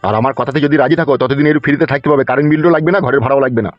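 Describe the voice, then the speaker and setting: A man's voice: medium pitch, fairly even intonation, racing, no echo, lightly acted. A man playing a pushy, lecherous landlord in a comic cartoon, taunting and crude as he fires off questions. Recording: dull lo-fi track with music.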